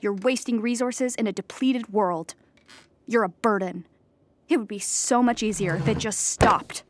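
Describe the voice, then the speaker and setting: An actress playing an anxious, earnest, depressed clown in a theatrical audio drama monologue, explaining with dramatic emphasis. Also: female voice, mid-range voice, a little slow, a little hiss or hum, close to an everyday voice. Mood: bleak, self-berating.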